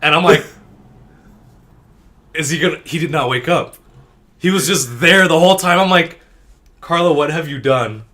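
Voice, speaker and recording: A man's voice: on the high side, moderate pace, long pauses, sharp tone. A man hosting a podcast, casually telling an anecdote with plenty of quoted dialogue and swearing. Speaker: podcast host.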